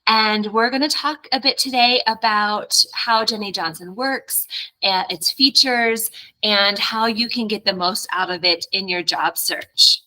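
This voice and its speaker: A woman's voice: mid-range voice, unhurried, bright, forceful tone, poor audio. A middle-aged woman from Ohio, a company president, opening a product webinar over a webcam in a friendly, organized way. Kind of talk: webinar introduction. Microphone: video call webcam.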